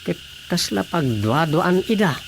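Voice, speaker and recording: A suspicious village gossip in a radio comedy drama. His voice is fairly high and heavily creaky. Faint noise with insects sits behind him. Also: very animated, a little slow, exaggerated voice.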